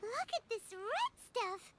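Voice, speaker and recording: A woman voicing a tiny, excitable, childlike fairy companion in a video game, with a squeaky voice. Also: slow, no echo, exaggerated voice. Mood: excited curiosity.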